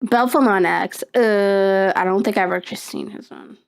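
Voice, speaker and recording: A young woman streamer chatting casually on a live stream, rambling and excitable, with lots of fillers and laughter. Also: medium pitch, moderate pace, gravelly, smooth.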